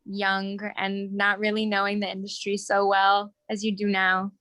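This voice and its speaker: A woman's voice: medium pitch, completely flat delivery, normal speed, fairly bright tone, dry recording. A woman asking a question as a student, speaking politely and tentatively.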